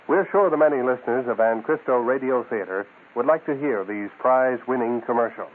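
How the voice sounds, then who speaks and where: An American male announcer reads scripted radio copy in a medium-pitched voice. The recording is narrow and tinny, with clear noise behind it.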